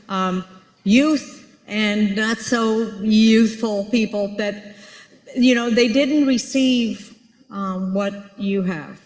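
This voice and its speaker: A woman's voice: medium pitch, a little mellow. A woman from Rico, speaking earnestly to an audience and searching for her words as she answers a question. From Puerto Rico. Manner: earnest, searching for words, builds ideas with everyday examples. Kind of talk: unscripted conference talk. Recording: echoey hall with long reverb.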